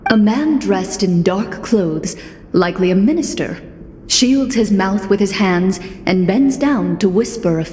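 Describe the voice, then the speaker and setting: A woman's voice: medium pitch, darkish tone, a little hiss or hum, lightly acted. A woman performing scripted fantasy narration as a game master setting a scene. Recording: echoey long reverb, music behind. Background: music.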